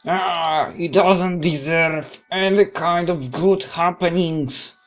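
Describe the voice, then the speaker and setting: A woman's voice: fairly low pitch, takes it slowly, slight background noise. A woman reading a visual novel aloud expressively, with casual commentary as she plays.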